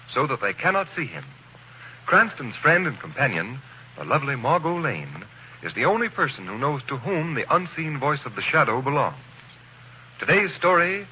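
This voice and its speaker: A man announcing the opening of an old radio drama, formal and measured. His voice is bright and lively. The recording is dull and lo-fi. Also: mid-range voice, slight background noise. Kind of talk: scripted narration.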